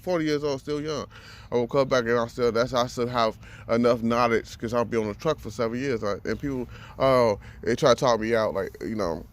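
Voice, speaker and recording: A man's voice: medium pitch, brisk. A man in his thirties talking bluntly and profanely in a casual monologue, often repeating words as he gathers his thoughts. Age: 30s. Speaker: vlogger.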